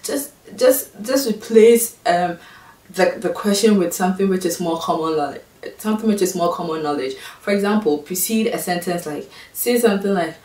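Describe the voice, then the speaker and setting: A woman's voice: moderate pace, warm tone. Ghanaian woman vlogging in an echoey room. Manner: exasperated, sarcastic, mimics others in high voices. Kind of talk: casual talking-to-camera vlog. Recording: echoey room, mic at a distance.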